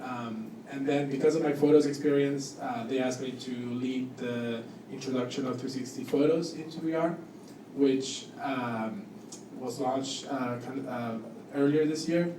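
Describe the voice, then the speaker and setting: A man at a middle pitch gives an informal, self-effacing talk as a guest designer. His delivery is a little hesitant. He is heard from some distance in an echoey room with clear background noise. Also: moderate pace, mellow. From Cuba and Costa Rica. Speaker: guest speaker, product designer. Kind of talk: informal spoken introduction at a panel talk. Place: indoor event room with audience.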